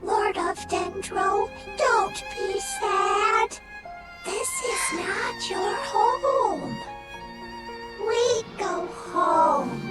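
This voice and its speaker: Squeaky, very slow spirit creature. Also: female voice, wildly swooping pitch, a bit bright, soft background music, heavily acted. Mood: consoling. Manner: halting, simple drawn-out phrases. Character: simple-spoken small spirit creature, childlike and consoling. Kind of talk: anime game creature voice.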